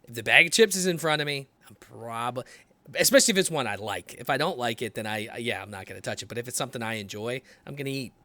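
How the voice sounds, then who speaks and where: A man's voice: mid-range voice, lively intonation, fast talker, sharp tone. A man in his late thirties hosting a podcast, talking loosely and swearing freely as he chats. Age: late 30s. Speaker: podcast host. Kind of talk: casual conversation.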